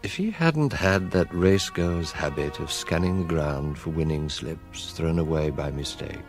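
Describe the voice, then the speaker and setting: An actor playing a middle-aged British chief inspector in a radio drama, fatherly and reflective, speaking in a measured, musing way. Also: rumbling low, expressive, music in the background, full character voice. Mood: musing, weary. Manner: measured, musing, gently probing. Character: veteran male police chief inspector, fatherly, quietly reflective.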